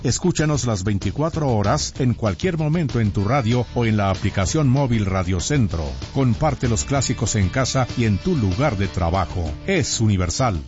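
A man's voice: mid-range voice, lively intonation, moderate pace, few pauses, a little mellow. A man reading a scripted radio station promo as an announcer. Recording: dull lo-fi broadcast, clear music behind. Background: clear background music.

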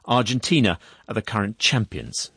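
A male news presenter who interviews guests and reads the news in a measured, formal way. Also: fairly low pitch, expressive, vocal fry, fairly bright tone, husky, dry recording, soft background music.

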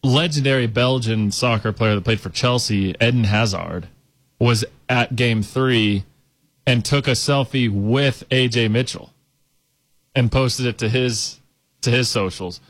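Casting: male co-host, mid-pitched, talkative, dry close-mic sound. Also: unhurried, no room sound, poor audio. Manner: chatty, rambling, thinks out loud. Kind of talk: casual sports chat.

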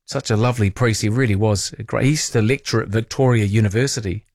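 A man's voice: lowish voice, fast talker, darkish tone, no room sound, clean studio sound. A man with a New Zealand accent hosting a late-night phone-in radio show, talking in a casual, colloquial, wry way. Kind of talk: phone-in radio chat and commentary.

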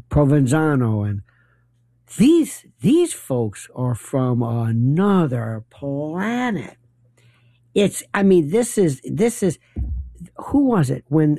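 An older man hosting a late-night call-in radio show, his voice gravelly and mellow. He rambles from thought to thought with opinionated, animated asides. The sound is dry and close, from a studio mic. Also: mid-range voice, no room sound. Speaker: radio talk show host.

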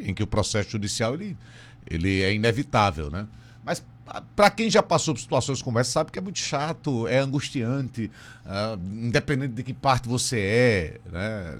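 A male radio host with a Northeastern Brazilian accent and a mid-pitched voice. He talks in an effusive, chatty way, often checking in with little tag questions as he conducts a casual interview.

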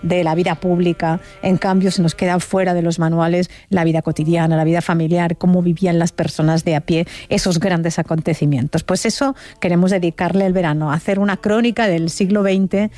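A woman with an accent from Spain presenting a conversational radio interview in an effusive, expressive way. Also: lowish voice, fairly quick, hardly any pauses. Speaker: radio show host.

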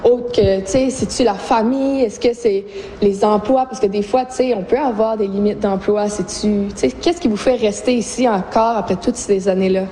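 Casting: woman moderator, Canadian French accent, informal questioning, soft mellow tone, roomy sound. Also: medium pitch, moderate pace. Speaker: moderator of a community discussion. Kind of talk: casual group interview. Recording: roomy echo, faint background noise.

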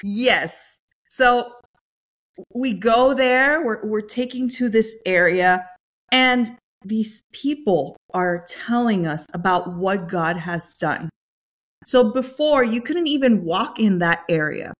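A woman's voice: medium pitch, expressive, slow. A woman from the Dominican Republic telling a personal story as an interview guest. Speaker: nonprofit worker, interview guest.

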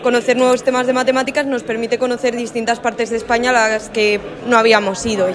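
Young woman, student from Spain, measured, slightly high voice. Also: level tone, crowd noise. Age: young adult. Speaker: university student.

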